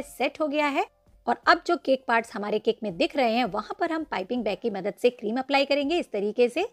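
A woman narrating a step-by-step cake icing tutorial, patiently instructing viewers and calling them friends. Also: a little high. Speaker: cooking channel host. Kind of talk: step-by-step baking tutorial voice-over.

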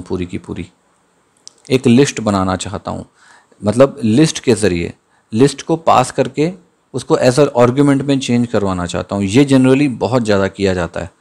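A man giving a screen-recorded coding lesson, thinking aloud as he types and slowing down to read out the code. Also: medium pitch, creaky, darkish tone. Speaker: programming tutor. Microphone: voice-over on screen capture.

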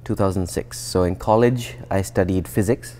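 Low-voiced man in his thirties, thoughtful. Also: moderate pace, mellow, a little hiss or hum. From India. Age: 30s. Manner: thoughtful, with frequent restarts and fillers. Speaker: guest researcher. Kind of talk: conversational interview. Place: indoor atrium with plants and glass. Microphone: lapel.

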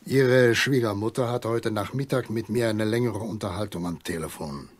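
Radio drama: male actor as old country doctor, tired, grave, probing. Husky tone, dry studio sound. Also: fairly low pitch, normal speed, no echo, lightly acted. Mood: firm, accusing. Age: older.

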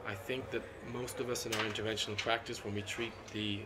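A middle-aged man, a cardiologist being interviewed at a conference, talks in a measured, analytical way with frequent ums and restarts. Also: lowish voice, level tone, background noise. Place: seated at a conference booth indoors. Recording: constant conference hall ambience.

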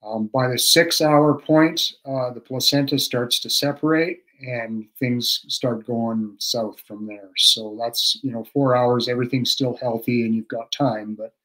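Relaxed middle-aged man on a video call. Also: mid-range voice, unhurried, few pauses, poor audio. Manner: relaxed, practical, many fillers and restarts, chuckles often. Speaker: guest cattle expert. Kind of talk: casual instructional talk on a video call. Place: home room with shelves. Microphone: video call webcam.

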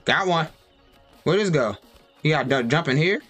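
A young man casually commentating his gameplay, talking to himself in slang and yelping at the game, recorded on a desk mic with faint game music behind. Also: normal speed. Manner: excitable, yelps high and loud at the game. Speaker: gaming streamer. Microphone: desk mic with webcam.

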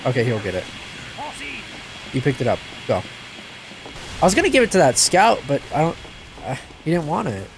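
A young man giving casual gameplay commentary, excitable, yelping and laughing at the action, his voice a little stuffed up. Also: medium pitch, moderate pace, long pauses, a little hiss or hum, poor audio. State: a bit stuffed up from crying. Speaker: gaming channel host.

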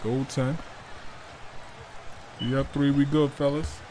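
A man's voice: medium pitch, level tone, warm tone. Man, mid voice, pumped-up gamer playing a mic'd-up baller, over noisy game sound. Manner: hyped, urging teammates with quick short calls. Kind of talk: casual in-game chatter. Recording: noisy game audio underneath.

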